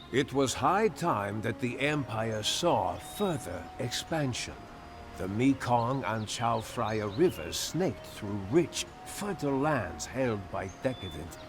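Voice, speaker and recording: A man narrating a historical strategy game in a measured, theatrical storytelling style. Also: lowish voice. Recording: narration over a music bed. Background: background music.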